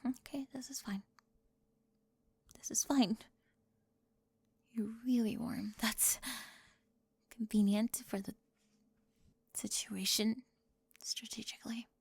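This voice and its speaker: Woman, ASMR roleplay, sharp-tongued teasing, whispers and soft talk, close mic, dry sound. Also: on the high side, fairly even intonation, slow pace, vocal fry, long pauses, slightly rough, no echo.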